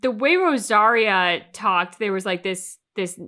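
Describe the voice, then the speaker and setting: A woman's voice: mid-range voice, animated, measured pace, pushed and bright. A woman co-hosting a reaction channel, talking through her thoughts casually and emphatically, with plenty of colloquial turns of phrase.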